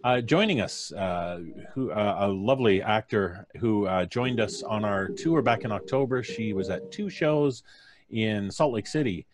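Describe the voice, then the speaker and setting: Very low-voiced man hosting. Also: crowd noise. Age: middle-aged. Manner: halting, jovial. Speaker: podcast host. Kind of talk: casual live-stream introductions. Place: home studio, video call. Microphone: studio mic on boom arm.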